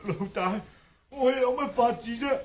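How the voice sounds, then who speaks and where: An actor playing a timid gang underling in a radio drama, speaking with a Hong Kong Cantonese accent and a pleading, apologetic manner. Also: male voice, very high voice, measured pace.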